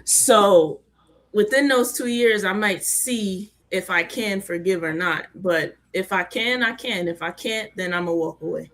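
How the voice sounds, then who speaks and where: Measured, slow-speaking woman. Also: mid-range voice, a bit bright. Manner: thoughtful, measured, with pauses. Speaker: panelist. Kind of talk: casual panel chat.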